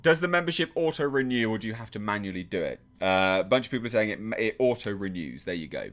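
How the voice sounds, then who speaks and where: Chatty English streamer with an animated voice. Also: male voice, lowish voice, quick pace. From England. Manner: chatty, self-deprecating, lots of restarts and fillers. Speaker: channel host reading live chat. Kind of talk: casual livestream chat.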